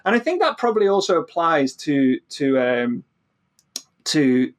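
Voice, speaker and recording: Higher-pitched British man, halting explainer. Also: sing-song. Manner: halting, repeats words and restarts often. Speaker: podcast guest, physicist and author. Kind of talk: informal explanatory interview talk.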